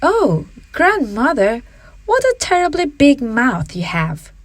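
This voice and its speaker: A woman's voice: high voice, huge pitch swings, slow, no echo, voice pushed up, heavily acted. A woman voicing an innocent, eager-to-please little girl in a storybook, with a naive, cooing manner. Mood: wondering. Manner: cooing, naive, bright-eyed. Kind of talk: storybook character voice.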